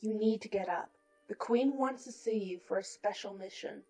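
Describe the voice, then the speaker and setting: A woman playing a brisk, insistent servant of a queen in an animated fan audio drama. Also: mid-range voice, faint music behind, close to an everyday voice. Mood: urgent.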